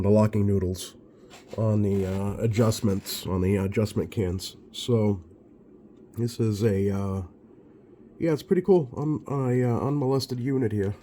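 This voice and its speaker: A man's voice: fairly low pitch, warm tone, a little hiss or hum. A man giving casual, off-the-cuff narration as a repair technician, thinking aloud in a hesitant way. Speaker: radio repair technician hosting a bench video.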